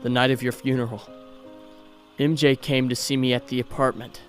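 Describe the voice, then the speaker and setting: Man acting as young male superhero, grieving, tender, earnest and halting, comic dub monologue, music underneath. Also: medium pitch, level tone, lightly acted. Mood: wistful, grieving.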